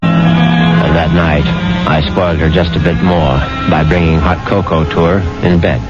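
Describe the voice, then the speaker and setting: Smooth, scheming American husband, swooping pitch. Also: male voice, lively intonation, measured pace. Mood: coolly calculating. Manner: smooth-talking, falsely affectionate, sardonic. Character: scheming husband narrating his plot to murder his wealthy wife. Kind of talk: 1970s radio drama acting, first-person narration and dialogue. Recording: muffled 1970s radio broadcast with loud music.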